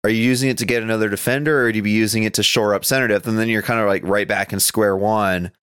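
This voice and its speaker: A man's voice: lowish voice, steady tone, quick pace, a bit bright, smooth, no echo, studio quality. A man co-hosting a podcast, chatting casually about sports in a rambling, conversational way. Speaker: podcast co-host.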